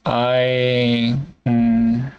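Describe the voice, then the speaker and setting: A man in a candid solo vlog, thinking aloud in a halting, hesitant way and swearing casually. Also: medium pitch, monotone, clean tone, highs cut off. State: congested. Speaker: vlogger.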